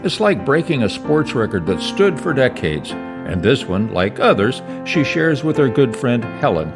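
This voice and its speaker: A man's voice: mid-range voice, very animated, normal speed, vocal fry. A man narrating a video in a scripted, storytelling way. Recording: music under the voice-over.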